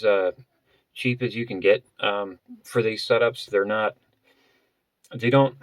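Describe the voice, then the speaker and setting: A man vlogger explaining gear in a casual, unscripted way, halting and often rephrasing as he goes. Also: medium pitch, no room sound. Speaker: vlogger presenting a budget gear setup.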